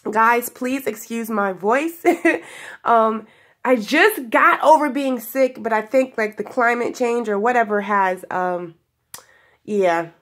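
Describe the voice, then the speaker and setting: A young woman with a stuffy voice from sinus trouble, giving a spiritual daily message to her viewers in a giggly, affectionate way, drawing out her words. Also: a little high. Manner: affectionate, giggly, drawn-out phrases. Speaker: spiritual guidance vlogger. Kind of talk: casual spiritual talk to viewers. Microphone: camera in front of her.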